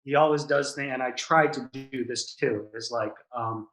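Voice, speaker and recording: A man's voice: medium pitch, moderate pace, a bit bright, echoey room, rough recording. A man who teaches improv, a guest talking casually and thoughtfully over a video call, with stammering restarts. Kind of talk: casual interview chat.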